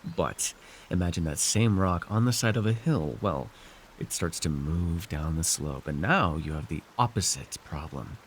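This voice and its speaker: Male actor as scheming villain lord, low pitch, widely swinging intonation, weary and persuasive, theatrical halting delivery, fantasy audio roleplay. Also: expressive, a little hiss or hum. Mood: patient, condescending. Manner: theatrical, halting, sighing and musing. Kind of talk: immersive fantasy roleplay monologue, ASMR.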